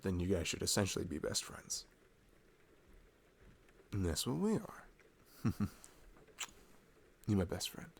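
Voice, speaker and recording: A man acts out a sweet college-age boyfriend in an intimate ASMR roleplay. His voice sits very low with a gravelly creak. He rambles in a casual, halting way, introverted but openly affectionate. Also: heavily acted. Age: young adult. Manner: rambling, halting, chuckling asides.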